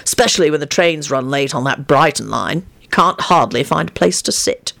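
A dry, unruffled man in a storybook, read by a woman. He speaks matter-of-factly, with gentle mockery under the plain delivery. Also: mid-range voice, huge pitch swings, a little fast, breathy edge, heavily acted. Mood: dryly mocking. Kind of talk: audiobook character voice.

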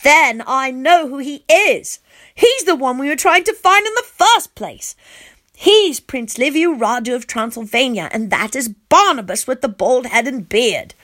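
Lady doing an excited little kid telling a story, high n swoopy, super earnest. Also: a little slow. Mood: amazed, grateful. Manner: breathless, earnest, emphatic. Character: excitable, brave young child telling their adventure.

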